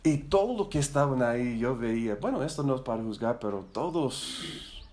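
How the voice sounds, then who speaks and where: A man's voice: medium pitch, animated, moderate pace, darkish tone. A middle-aged man who learned Spanish as a second language, leading a Bible study for church leaders in a casual, rambling way, often repeating words and asking for agreement. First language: not Spanish. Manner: chatty, rambling, with frequent tag questions and repeated words. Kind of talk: casual, conversational teaching.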